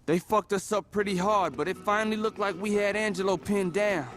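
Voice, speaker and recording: A man's voice: high-pitched, fairly quick, over loud music, natural voice. A man acting an urgent, rattled street gang member in a video game.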